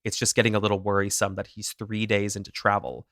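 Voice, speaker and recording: Low-voiced man telling a true crime story. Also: fast, no echo, studio quality. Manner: animated, self-deprecating, laughs at own pronunciation. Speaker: podcast co-host.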